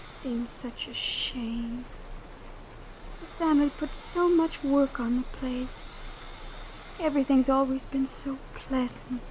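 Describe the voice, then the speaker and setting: A woman's voice: high voice, steady tone, slow pace, long pauses, darkish tone. An actress playing a naive, carefree rich young girl in old radio drama style, breezy and innocent. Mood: subdued, wistful. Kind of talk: 1940s radio drama acting. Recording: noisy vintage radio broadcast.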